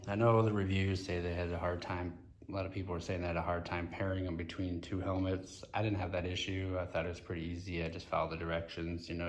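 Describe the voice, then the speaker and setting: A middle-aged man talks in a low-pitched, flat voice, casually reviewing a product off the cuff. His delivery is plain and halting, with pauses mid-sentence. It is recorded on a handheld device in a garage, with some noise behind him. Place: indoors in a garage or workshop. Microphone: handheld phone or camera, speaker off screen.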